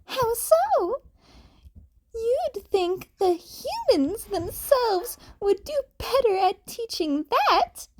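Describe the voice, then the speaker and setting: A woman's voice: very high-pitched, big pitch swings, very slow, pitched above the performer's own voice, exaggerated voice. A woman voicing a clever, polite, thoughtful male creature who runs a school, in a fan fiction audiobook.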